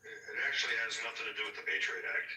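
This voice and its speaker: A man's voice: on the low side, level tone, very fast, bright, forceful tone. A man in a group discussion, explaining things and correcting the others. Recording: echoey room, far from mic.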